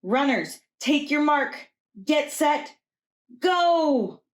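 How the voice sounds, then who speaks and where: A woman's voice: sits high, animated, extremely slow, reverberant, pitched above the performer's own voice, heavily acted. A woman voicing an official rooster race announcer from a storybook, calling out in a proclaiming manner.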